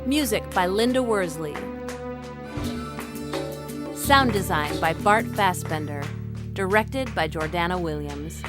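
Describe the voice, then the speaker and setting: A woman's voice: lowish voice, lively intonation, takes it slowly, pauses often. A woman announcer reading scripted credits for an audio drama podcast. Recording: clear music under the voice.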